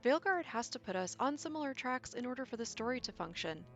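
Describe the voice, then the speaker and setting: Higher-voiced woman narrating over music. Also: expressive, fast. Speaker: content creator, video essay narrator. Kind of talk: conversational explanatory narration with examples. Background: background music.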